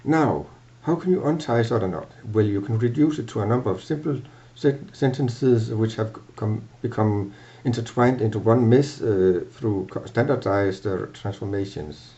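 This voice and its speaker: A man's voice: fairly low pitch, warm tone. Give me an older man with a Danish accent lecturing at his office webcam, dull lo-fi audio. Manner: halting, self-correcting explanations. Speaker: language teacher. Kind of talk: lecture to camera. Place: small office.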